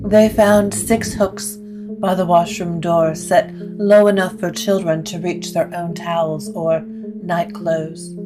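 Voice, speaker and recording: A woman narrating a scripted gothic story in a measured, literary way. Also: lowish voice, level tone, music in the background.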